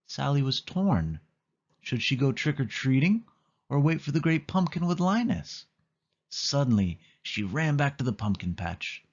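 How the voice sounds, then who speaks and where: A man's voice: medium pitch, big pitch swings, unhurried. Male storybook narrator, slightly dull audio. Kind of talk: children's read-aloud scripted narration.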